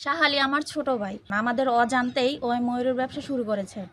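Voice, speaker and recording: A woman's voice: on the high side, fast, crowd noise. A woman giving an informal interview account. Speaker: farmer's elder sister being interviewed. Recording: faint ambient location noise.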